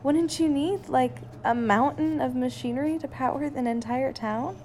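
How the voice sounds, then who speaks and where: A woman playing a caring, sensible scientist, wife and mother in an audio drama, with a gentle, earnest and expressive delivery. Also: high voice, normal speed, a little hiss or hum. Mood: curious.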